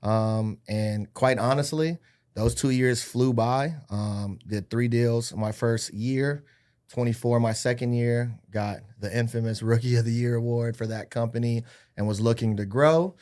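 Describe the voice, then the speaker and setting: A man's voice: medium pitch, fairly even intonation, a little slow, darkish tone. Man, 30s, upbeat, jokey, self-deprecating, casual podcast guest. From Hawaii-born, raised in California and Arizona. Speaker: podcast guest, real estate agent. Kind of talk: casual chat.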